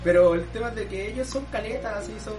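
A man's voice: high voice, fast. Slangy Chilean guy in an echoey room. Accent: Chilean Spanish. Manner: rambling, slangy, laughs often. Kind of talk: casual chat. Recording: echoey room with music playing.

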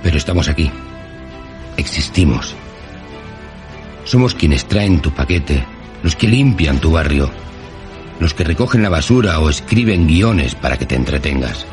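A man's voice: very deep voice, expressive, measured pace, long pauses. A man playing a weary fictional soldier in an audio drama, over background music. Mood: solemn. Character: weary male fictional soldier character, pleading with his writer. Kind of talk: Spanish-language audio fiction acting.

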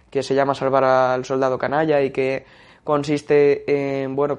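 Young Spaniard talking football in a flat voice. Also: male voice, mid-range voice, clean tone. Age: young adult. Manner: steady, with frequent "eh" fillers. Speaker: football segment contributor. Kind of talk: casual radio-style football talk.

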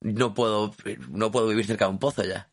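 A man's voice: fairly low pitch, steady tone, a little fast, faint music behind. A man in his thirties from Madrid with a Madrid accent, co-hosting a comedy podcast with sarcastic, crude asides. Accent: Madrid Spanish. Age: 30s. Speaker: podcast co-host. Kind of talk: casual comedic banter.

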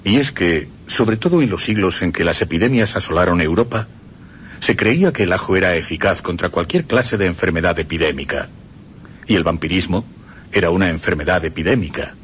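Male radio narrator. Deep and instructive, widely varied intonation. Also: expressive, brisk, slight background noise. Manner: emphatic, instructive storytelling.

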